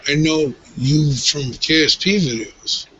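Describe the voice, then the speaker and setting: A man's voice: fairly high pitch, slow, bright, forceful tone, slightly rough, dull audio. A man on an online panel, talking in an encouraging, easygoing way. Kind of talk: casual group chat.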